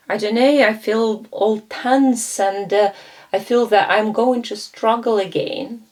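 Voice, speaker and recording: Woman teaching coaching skills, measured. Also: mid-range voice, darkish tone. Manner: explanatory, with frequent fillers and self-corrections. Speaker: coaching instructor. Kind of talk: lecture with role-play examples.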